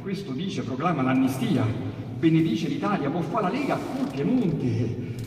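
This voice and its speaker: Un uomo con accento romanesco che dà voce a un capo gesuita intrigante e preoccupato, borbottando a voce bassa. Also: male voice, very animated, moderate pace, a little mellow. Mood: allarmato, cospiratorio. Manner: low, muttered complaint. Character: scheming male Jesuit chief, worried and grumbling. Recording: echoey hall, distant mic, faint hiss.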